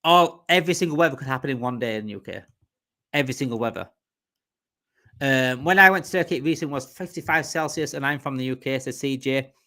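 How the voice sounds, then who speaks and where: A man's voice: mid-range voice, fast talker, bright, forceful tone. A man from Yorkshire with a Yorkshire accent, hosting a casual live fan stream, rambling and repeating himself as he reads out viewer comments. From Yorkshire, England. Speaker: livestream host.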